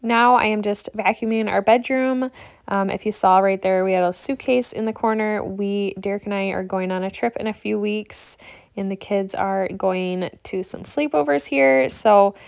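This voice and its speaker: A woman's voice: medium pitch, normal speed, creaky. Woman in her 30s doing a chatty clean-with-me voiceover, lots of ums, casual mom talk. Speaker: cleaning vlogger. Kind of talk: casual voice-over narration.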